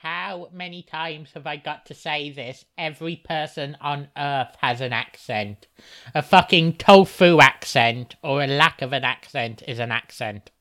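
A man's voice: a little high, unhurried, pushed and bright, no room sound. A man co-hosting a casual podcast, blunt and teasing in his questions. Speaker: podcast co-host. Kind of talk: casual chat.

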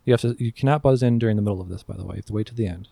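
A man's voice: fairly low pitch, racing, creaky, mellow, dry recording. A man hosting a casual podcast quiz, reading riddle clues in mock-archaic verse and refereeing the answers in a firm, teasing way.

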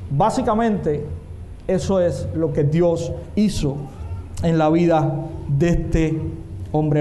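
A man's voice: sits high, darkish tone. A man preaching a sermon, emphatic and often asking the congregation questions. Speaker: pastor. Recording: echoey room with faint noise.

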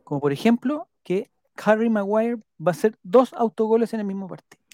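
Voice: mid-range voice, expressive, a little fast, warm tone, no room sound. Chilean streamer host chatting casually, jokey n rambly, reading out comments, really dry room. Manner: rambling, repeats names while jotting them down; playful, joking.

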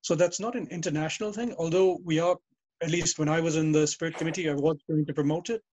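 A man's voice: a little high, fairly even intonation, fast talker, a little mellow, lo-fi sound. A man being interviewed, telling long stories about the old days and often correcting himself mid-sentence. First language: English. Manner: rambling, self-correcting storyteller. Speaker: guest. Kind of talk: casual interview over a call.

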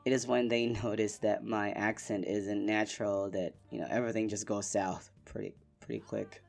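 A young man from the Philippines hosting a casual solo podcast, relaxed and rambling with lots of fillers. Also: on the low side, moderate pace, creaky, faint music behind. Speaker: podcast host.

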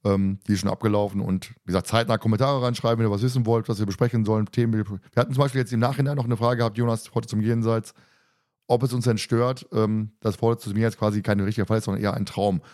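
A man with a fairly low voice talks very quickly as he moderates a casual podcast. He is chatty and teasing, and the sound is dry and close. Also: steady tone, darkish tone, no room sound. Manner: joking, teasing, talkative. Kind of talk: casual podcast chat and announcements.

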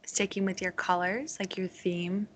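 A woman's voice: medium pitch, level tone, a little slow, fairly bright tone. A woman from Connecticut hosting a podcast interview and asking curious questions with frequent interjections. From Connecticut, United States. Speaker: podcast host. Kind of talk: casual interview conversation.

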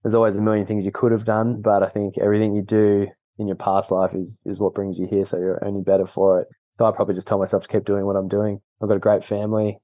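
Australian man, guest on a call, mellow low voice, dry sound. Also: fast, few pauses, no room sound. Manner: relaxed, laughs often, says mate. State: injured foot, on painkillers. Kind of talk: casual interview chat.

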